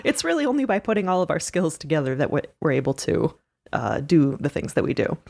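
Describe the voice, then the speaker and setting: A woman's voice: sits low, sing-song, brisk, vocal fry, darkish tone. A woman chatting casually as a podcast guest, in a playful, teasing way. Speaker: podcast artist and performer, guest. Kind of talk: casual chat.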